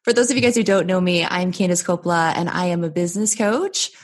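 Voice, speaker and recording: A woman's voice: fairly low pitch, fast talker. A woman in her thirties, originally from the United States, telling her business story with upbeat energy as a podcast guest. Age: 30s. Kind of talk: conversational interview storytelling.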